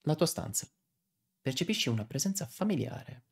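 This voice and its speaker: Animated man streaming a game. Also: a little high, normal speed, slight background noise. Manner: theatrical, jokey, slips into character voices. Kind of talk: animated game playthrough, reading dialogue aloud. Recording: game music behind voice.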